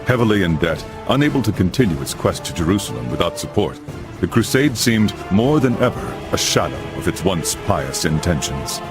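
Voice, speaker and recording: A man's voice: sits low, sing-song, moderate pace, over music. An actor playing a grave older crusader father who narrates medieval history formally in a video game. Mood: solemn. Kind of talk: scripted dramatic narration.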